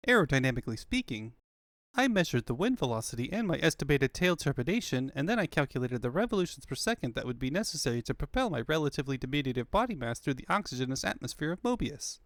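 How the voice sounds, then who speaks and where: A man's voice: medium pitch, very animated, fast, no echo, studio quality. Guy doing smug lil genius fox kid, cartoon dub, talks like a textbook, very swoopy. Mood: pompous. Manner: pompous, wordy, pedantic. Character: small genius fox boy, pompous and verbose. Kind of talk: comic fandub character acting.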